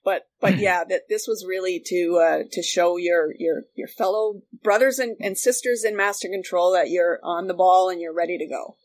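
A middle-aged woman, a guest reminiscing casually, explaining things in animated, repeating loops. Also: fairly low pitch, moderate pace, hardly any pauses. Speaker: guest, former radio studio technician.

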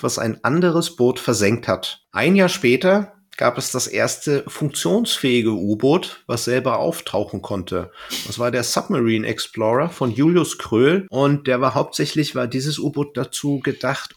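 A man's voice: medium pitch, creaky. A man chatting casually as a podcast co-host.

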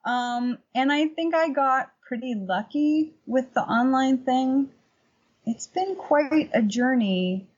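A woman with a husky voice giving casual, conversational answers as an interview guest. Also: sits high, slow pace, a little mellow, dull audio. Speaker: podcast guest, painter and workshop teacher.

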